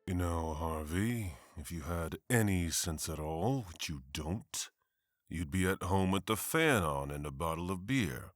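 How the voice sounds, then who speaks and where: An actor playing a defiant district attorney in an animated comic, sardonic and forceful. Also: male voice, low-pitched, measured pace, dry recording, faint music behind. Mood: bitter sarcasm.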